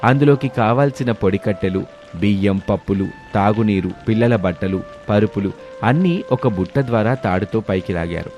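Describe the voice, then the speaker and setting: A man's voice: on the low side, brisk, a little mellow. A man giving scripted narration for a moral storybook tale. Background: background music.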